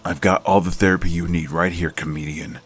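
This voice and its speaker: A man playing a hard-bitten, vengeful vigilante in a comic book dub, speaking in clipped, grim phrases with a low, raspy voice. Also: steady tone, a little fast. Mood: scornful anger. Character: hard-bitten, vengeful male gun-toting vigilante, grimly determined. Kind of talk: comic book dub acting with inner monologue.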